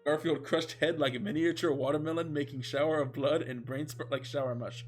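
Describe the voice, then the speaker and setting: A man's voice: on the high side, steady tone, fairly quick. A man reading fan fiction aloud as a comedic, mock-epic narration, sounding amused. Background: music.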